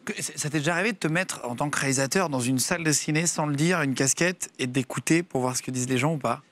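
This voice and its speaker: A man hosts a casual interview, firing off eager questions at high speed. His voice is bright and sharp, slightly higher than most men's, with faint noise in the background. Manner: quick, eager, asking questions. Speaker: show host.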